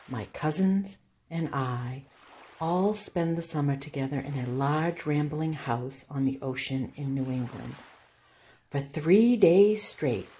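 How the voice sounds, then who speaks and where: Woman, low pitch, slow pace, children's storytime reader, deliberate expressive phrasing, picture-book read-aloud.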